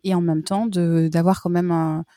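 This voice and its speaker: A French woman in her thirties, a language teacher, talking casually with many pauses and restarts. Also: fairly low pitch, flat delivery, mellow, no echo, clean studio sound. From France. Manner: hesitant, many fillers and restarts. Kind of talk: casual conversation.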